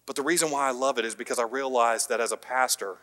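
A pastor preaching a sermon, with emphatic rising peaks, quiet dropped line endings and some light self-deprecating humor. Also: male voice, mid-range voice, steady tone, fast talker. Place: church sanctuary. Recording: long hall reverb.

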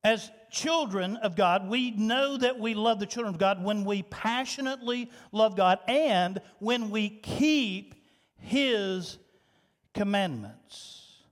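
A pastor delivers an animated sermon in a very high voice for a man. He swings from loud, pressing emphasis to quiet, hushed asides. A long church reverb trails behind every phrase. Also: slow. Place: church sanctuary.

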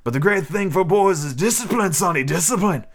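A man voicing a fawning, menacing old male pirate sailor who drags his words out with sudden sharp rises. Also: sits high, animated, voice pushed up. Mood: wheedling. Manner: drawn-out, wheedling delivery with sudden sharp rises.

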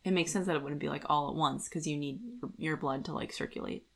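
A woman's voice: low-pitched, fast, creaky. A woman co-hosting a podcast, discussing a book casually and reasoning her points through in a conversational way. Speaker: podcast co-host.

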